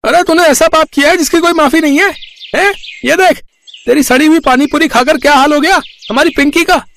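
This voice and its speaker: A man voicing a high, gravelly scheming seller. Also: a little fast, a little hiss or hum, full character voice. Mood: angry. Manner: pushy, loud and scolding. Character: boastful scheming male village panipuri seller. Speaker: cartoon voice actor. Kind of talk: animated storybook acting.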